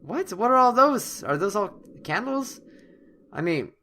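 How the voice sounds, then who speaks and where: A man doing let's-play commentary, reading game text aloud dramatically with expressive, reactive asides. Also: high voice, measured pace. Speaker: gamer, let's-play host. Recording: clearly noisy background.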